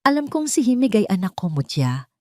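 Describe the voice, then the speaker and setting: Woman voicing a calm, menacing rich ex-lover. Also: medium pitch, fairly quick, dry recording, clean studio sound, pitched above the performer's own voice, natural voice. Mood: calm menace. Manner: calm but threatening.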